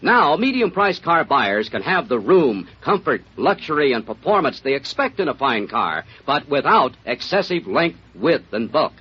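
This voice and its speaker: A man's voice: mid-range voice, very animated, moderate pace, few pauses, slightly rough. A man with an American accent reading a scripted old-time radio commercial in a polished salesman's delivery. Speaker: commercial announcer. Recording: muffled old radio recording.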